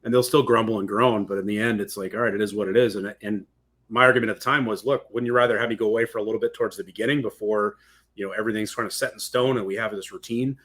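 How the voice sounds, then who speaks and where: Guy cohosting a podcast, talks real fast, raspy voice, chatty and agreeable. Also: fairly low pitch, fairly even intonation, a bit bright. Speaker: podcast co-host. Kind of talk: casual podcast chat.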